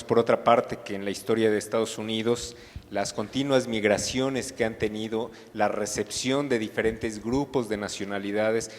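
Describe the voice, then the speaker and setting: Low-pitched man as moderator, formal and measured, reading questions, reverberant hall. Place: lecture hall. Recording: lecture hall with long reverb.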